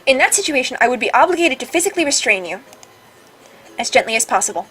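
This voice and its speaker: A woman's voice: high-pitched, sing-song, quick pace, a bit bright, breathy edge, faint music behind, heavily acted. A woman voicing a polite, stubborn, literal-minded water spirit AI in a formal, precise way, recorded with some noise. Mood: formal, evasive. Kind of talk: reading visual novel dialogue aloud.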